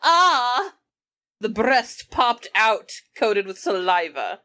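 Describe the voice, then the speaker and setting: High-pitched woman reading and bantering. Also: big pitch swings, slow, pushed and bright. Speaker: book club podcast performer reading aloud. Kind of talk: improvised comedy chat with read-aloud passages.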